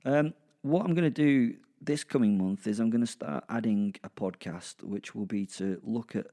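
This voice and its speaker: Male podcast host, fairly low voice, dark soft tone, informal, rambling, wry introduction. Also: moderate pace.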